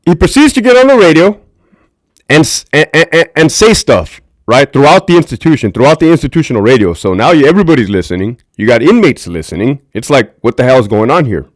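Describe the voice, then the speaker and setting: Deep-voiced man ranting to his livestream. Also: very animated, fairly quick, no room sound. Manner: candid, profane, talks to chat viewers. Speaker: livestream host, former prison officer. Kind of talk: casual livestream commentary. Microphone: desk mic.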